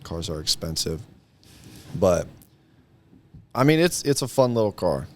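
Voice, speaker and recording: A man's voice: deep voice, big pitch swings, measured pace, a little mellow, slight background noise. A young man in his late teens chatting casually as a guest, modest and understated. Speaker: guest, high school senior. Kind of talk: casual chat.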